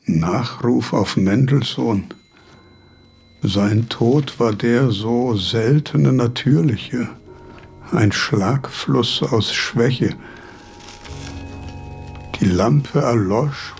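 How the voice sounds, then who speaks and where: An actor playing a fussy old philosophy professor in a radio play, formal, courtly and pedantic. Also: male voice, medium pitch, extremely slow, gravelly, pauses often, darkish tone, faint music behind. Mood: musing. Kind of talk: German radio play acting.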